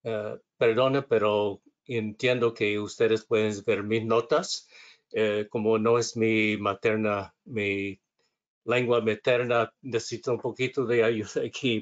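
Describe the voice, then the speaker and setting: Low-voiced man, hesitant. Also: level tone, unhurried, lo-fi sound. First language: English. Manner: hesitant, self-correcting, reading from notes. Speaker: instructor. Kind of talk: webinar lecture.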